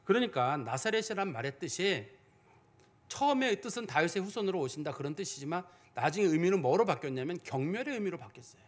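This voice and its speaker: Lively high-voiced male pastor preaching. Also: fast. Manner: explanatory, conversational preaching with rhetorical questions. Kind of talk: sermon.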